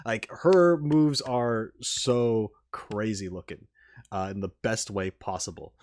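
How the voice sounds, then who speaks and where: A man's voice: on the low side, slow pace, darkish tone. A man giving casual, unscripted reaction commentary as a video host, rambling informally with emphatic enthusiasm.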